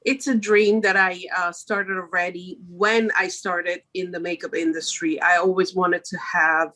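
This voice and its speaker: A woman's voice: on the low side, flat delivery, measured pace, bright, forceful tone, poor audio. Woman being interviewed. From Switzerland. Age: middle-aged. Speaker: podcast guest, makeup artist. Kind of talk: conversational interview answers.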